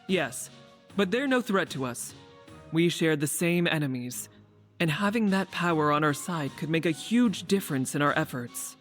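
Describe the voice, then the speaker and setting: An actress playing a composed, authoritative resistance leader in a video game, speaking in a measured, formal way. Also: female voice, sits low, lively intonation. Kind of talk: video game quest dialogue. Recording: faint background music.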